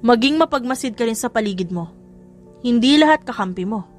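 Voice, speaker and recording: A woman's voice: a little high, expressive, brisk, lightly acted. A woman voicing a firm, cautioning personal assistant who instructs a young heir in an audiobook drama. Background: music.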